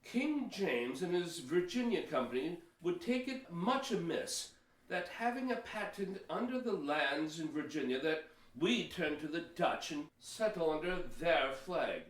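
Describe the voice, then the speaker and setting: A man's voice: on the high side, lively intonation, unhurried. A man playing a cautious, pious Pilgrim church elder in a historical audio play, with hesitant, formal archaic phrasing. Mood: doubtful, wary. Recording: roomy echo, distant from mic.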